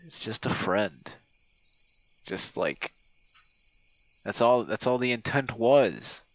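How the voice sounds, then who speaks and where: Slow-talking man, low rambling voice. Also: no echo, low-quality recording. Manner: hesitant, meandering, swears casually. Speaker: online audio creator and game streamer. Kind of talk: rambling casual monologue, like a voice message to a friend. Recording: outdoor night sounds with crickets. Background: crickets and insect night sounds.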